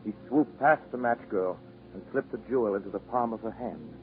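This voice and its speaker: A man giving scripted storybook narration in measured phrases, in the style of 1940s radio drama. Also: on the low side, narrow, tinny audio, natural voice. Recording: 1940s radio broadcast over music.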